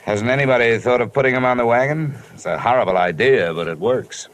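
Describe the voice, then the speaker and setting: A man's voice: mid-range voice, fairly quick, a little hiss or hum, natural voice. A man playing a wry, hard-boiled investigator in an old radio detective drama, with clipped, sardonic delivery. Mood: wry, probing. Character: wry, hard-boiled male insurance investigator narrating his case. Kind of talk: old-time radio detective narration and dialogue.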